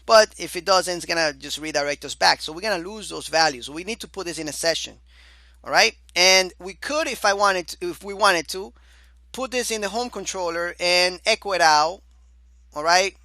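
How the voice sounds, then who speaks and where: A man teaching a beginner programming course over his screen, casual and chatty, often saying "all right" and calling the listener "my dear student"; his voice is going hoarse. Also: sits high, moderate pace, pushed and bright, dry recording. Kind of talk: screen-recorded coding lecture.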